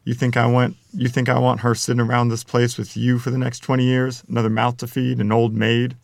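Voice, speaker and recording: A man playing a gruff, defensive middle-aged Midwestern father and gambler, with folksy, plainspoken speech in a table-read drama. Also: mid-range voice, completely flat delivery, fairly quick, no echo, studio quality, lightly acted. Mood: stubborn, defensive. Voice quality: gravelly, creaky. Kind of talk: table-read screenplay acting.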